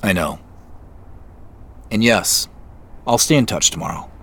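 An actor playing an impulsive man chasing a mystery, speaking slowly and laughing often in an audio drama. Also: fairly low pitch, animated, a bit bright. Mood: amused. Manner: slow, amused, laughs often.

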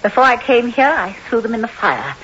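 A woman's voice: fairly high pitch, very animated, brisk. An actress playing a blunt but sympathetic tarot-reading woman in a radio drama, with dramatic emphasis. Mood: relieved, tender. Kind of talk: 1960s radio drama acting. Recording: muffled, hissy old radio recording.

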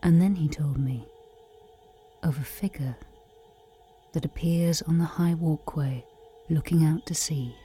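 A woman's voice: low-pitched, a little slow, long pauses, warm tone, faint music behind. A woman acting a wry lighthouse hotel owner, telling stories conversationally in an audio drama. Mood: wry, eerie. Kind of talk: audio drama storytelling and dialogue.

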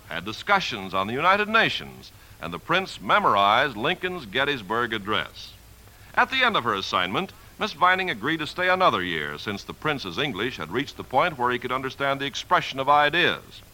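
A man's voice: mid-range voice, sing-song, fairly quick, sharp tone, low-quality recording. A man delivering formal, polished scripted narration as a 1950s radio announcer, with emphatic phrasing.

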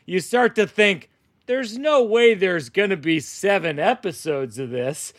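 A man hosting a casual podcast chat with another comedian, telling jokes in a dry, deliberate way. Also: high-pitched, expressive, dry recording.

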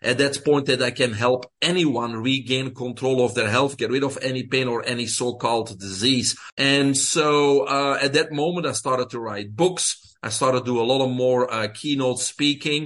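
A man's voice: mid-range voice, moderate pace, few pauses. Middle-aged Belgian-accented man telling stories. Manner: relaxed storytelling with fillers. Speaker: guest, health coach. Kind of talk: casual interview.

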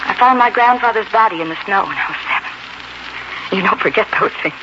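A woman acts as a tender, intense young pioneer bride in 1940s radio drama. Her delivery is heartfelt and dramatic, at a medium pitch, on a muffled, very noisy old recording. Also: a little fast, close to an everyday voice. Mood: bitter, grave.

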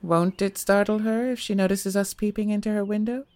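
A sardonic actress playing a woman ghost hunter in an audio drama. She is deadpan and impatient, with a mid-range voice recorded very dry. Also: mid-range voice, brisk, dry recording, lightly acted. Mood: wry, questioning.

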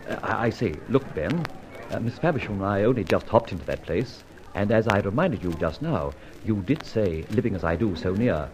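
An actor playing a suave, flippant gentleman adventurer in an old-time radio serial, with breezy old-fashioned slang. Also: fairly low pitch, animated, normal speed, lightly acted. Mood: breezy, teasing. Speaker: narrator and lead actor. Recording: muffled vintage radio recording, faint noise.

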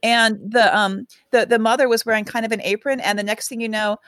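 A woman's voice: a little high, steady tone, a little fast, fairly bright tone, no echo. A woman co-hosting a podcast, telling stories in casual chat with wry asides. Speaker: podcast co-host.

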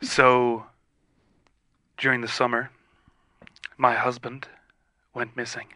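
Man doing an aggrieved wife, very bright tense tone, dry sound. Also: medium pitch, level tone, takes it slowly, no room sound. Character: wronged wife demanding answers from Santa. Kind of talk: improvised comedy call-in.

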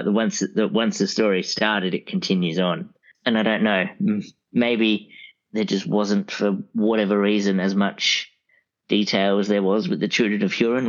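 A man speaking as an expert guest in a casual podcast discussion, explaining things in a rambling, halting way. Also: fairly low pitch. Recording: dull, lo-fi audio.

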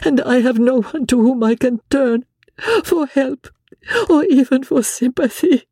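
A man's voice: very high-pitched, dark tone, no room sound, clean studio sound, voice pushed up, exaggerated voice. A man voicing a tearful pleading noblewoman. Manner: breathless, pleading, broken by halting repeats.

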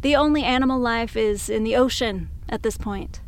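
A woman's voice: on the high side, normal speed, a little hiss or hum, close to an everyday voice. A woman playing a dry, nerdy scientist who is unfazed by wonders, matter-of-fact, in an audio drama. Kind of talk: naturalistic audio drama acting.

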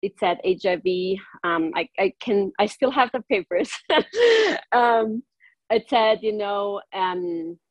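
A woman's voice: medium pitch, slow, no echo. A woman from northern Italy, a native German speaker with a German accent, talking casually as a guest with many ums and hesitations. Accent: German-speaking northern Italian. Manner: hesitant, many ums, laughs between lines. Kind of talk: casual interview.

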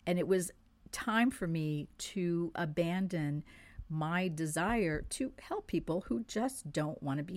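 A woman from France, French her first language, giving a monthly spiritual forecast in an earnest, measured way and stressing key words. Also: fairly low pitch. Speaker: spiritual teacher.

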